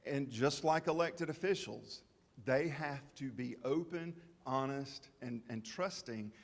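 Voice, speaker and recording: A man presenting at a town information session, explaining to the public in a halting, plain-spoken way. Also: mid-range voice, vocal fry. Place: town meeting hall. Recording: long reverb of a meeting hall.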